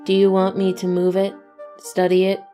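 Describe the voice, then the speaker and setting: A woman voicing a deadpan, literal-minded female earth pony in a cartoon-style fan audio drama, speaking matter-of-factly. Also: medium pitch, moderate pace, faint music behind, lightly acted. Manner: deadpan, flat, matter-of-fact.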